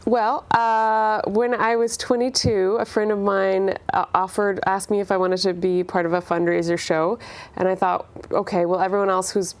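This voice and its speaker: A woman's voice: mid-range voice, little pitch movement, moderate pace. A young woman from Canada with a Canadian accent, an interview guest chatting casually and thinking aloud. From Canada, born in Ireland. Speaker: interview guest, singer-songwriter. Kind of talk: casual chat.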